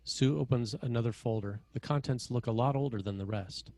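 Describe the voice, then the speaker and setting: A man's voice: medium pitch, a little fast, warm tone. A man reading scene headings and stage directions as the narrator of a screenplay table read.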